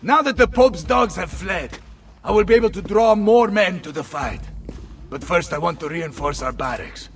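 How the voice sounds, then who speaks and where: Boisterous, gravelly Italian mercenary commander. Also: male voice, high-pitched, animated, moderate pace, slight background noise. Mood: triumphant. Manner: loud, blustering, exclamatory. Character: boisterous, hot-headed Italian mercenary commander, battle-loving husband. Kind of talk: video game cutscene acting.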